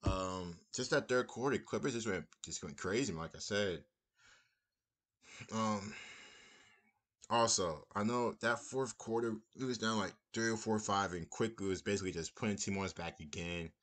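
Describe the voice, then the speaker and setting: A man's voice: fairly low pitch, normal speed. A young man giving a casual, rambling post-game reaction from a small room, repeating himself as he talks through the game. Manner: relaxed, rambling, repeats phrases. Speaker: basketball fan commentator.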